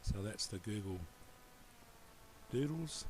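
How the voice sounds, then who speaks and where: A low-voiced man with a New Zealand accent walks through a computer tutorial in a relaxed, rambling way and often restarts his sentences. He speaks into a computer mic over a screen recording. A faint room hum sits behind his voice. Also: slow, low-quality recording. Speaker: tutorial presenter.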